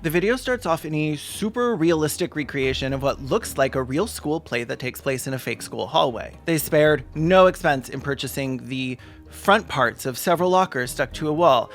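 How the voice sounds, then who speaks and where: A person giving comedic reaction commentary as a video host, sarcastic, campy and theatrical. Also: medium pitch.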